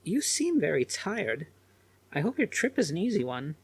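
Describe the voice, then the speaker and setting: A man's voice: a little high, sing-song, normal speed, breathy edge, no echo, voice pushed up, exaggerated voice. A man voicing an elderly, kindly and dignified woman with a gracious, formal manner in an audiobook. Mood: concerned.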